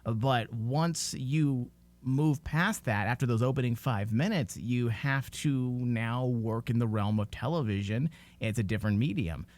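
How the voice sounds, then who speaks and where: A man's voice: mid-range voice, measured pace, darkish tone. A man hosting a podcast, talking casually and giving his opinions emphatically. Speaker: podcast host.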